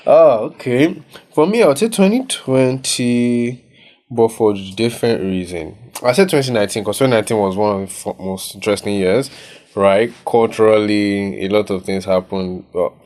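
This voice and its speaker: Young Nigerian man, low voice, studio podcast co-host, easygoing and reflective, tags his points with "right?". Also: moderate pace, a little mellow. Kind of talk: casual podcast chat. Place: recording studio.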